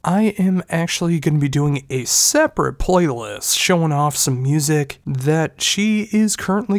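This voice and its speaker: A man's voice: fairly high pitch, vocal fry, no room sound, studio quality. A man hosting a podcast, talking casually and rambling a little, with a stuffed-up, congested nose. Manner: rambling, conversational, with restarts. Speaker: podcast host. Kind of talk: casual solo podcast talk.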